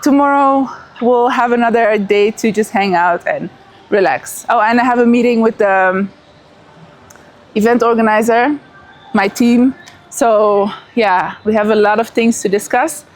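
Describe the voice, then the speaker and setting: A woman in her thirties, raised in the Netherlands, narrating a casual travel vlog outdoors at an event venue, chatty and excited, with fillers and restarts. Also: fairly high pitch, unhurried. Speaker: vlogger.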